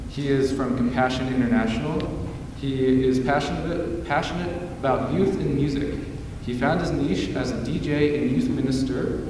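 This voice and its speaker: A man's voice: medium pitch, recorded from a distance. Young male student, scripted intro, roomy reverb and background hiss. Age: young adult. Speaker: student club president introducing the speaker.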